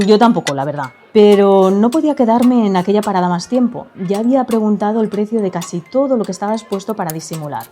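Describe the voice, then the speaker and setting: A woman with a Spanish accent from Spain, chatting expressively about her story and then narrating it into a studio microphone. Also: mid-range voice. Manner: lively, expressive, gesturing while talking. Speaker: author reading her own story.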